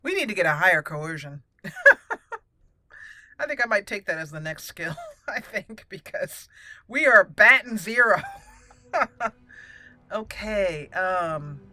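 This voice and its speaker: A woman hosting a roleplay gaming video, mixing casual commentary with deliberate readings of her character's dialogue. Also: mid-range voice, sing-song, bright, forceful tone, no room sound.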